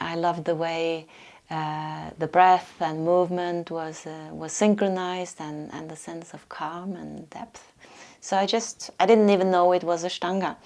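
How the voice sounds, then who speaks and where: A middle-aged woman from Norway with a Norwegian accent, a yoga teacher speaking softly and thoughtfully in a portrait interview, pausing to find her words. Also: fairly low pitch, gravelly. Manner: soft-spoken, thoughtful, pauses to search for words. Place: yoga retreat.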